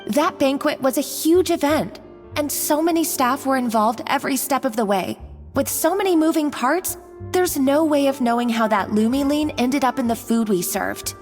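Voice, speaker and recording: A woman's voice: high-pitched, expressive, normal speed, a little mellow, long reverb tail, faint music behind. An actress playing a loyal, earnest female restaurant worker in a video game, speaking insistently. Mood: earnest, aggrieved.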